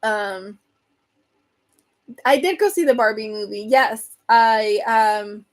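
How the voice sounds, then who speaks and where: A woman hosts a relaxed livestream, chatting loosely with her viewers and laughing easily. Her voice sits a touch high and has grown hoarse from a long stretch of talking. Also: takes it slowly. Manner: chatty, rambling, reads and answers comments, laughs often. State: voice getting hoarse. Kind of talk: casual livestream chat.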